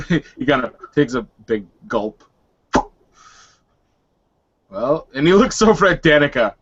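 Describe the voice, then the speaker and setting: A man's voice: on the high side, very animated, takes it slowly, husky, muffled recording. A man improvising narration as a player in a tabletop roleplaying game.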